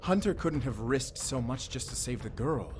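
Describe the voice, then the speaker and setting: A proud human lord played by a man, earnest and firm. His mid-pitched voice has a formal fantasy-drama delivery, with faint noise on the recording. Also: expressive, moderate pace, natural voice. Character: proud, earnest, loyal human male leader. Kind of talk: fantasy audio roleplay acting.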